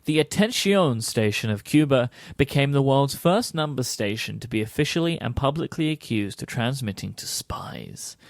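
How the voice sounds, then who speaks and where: A man's voice: medium pitch, no echo. A man co-hosting a podcast, reading scripted narration with some casual asides into a close mic. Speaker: podcast co-host.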